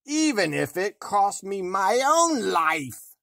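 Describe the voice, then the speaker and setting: A man voicing a wicked, envious queen disguised as an old farmer's wife, loud and drawn out, in a storybook reading. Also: sits high, big pitch swings, slow, gravelly, no room sound, studio quality, voice pushed up, full character voice. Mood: enraged.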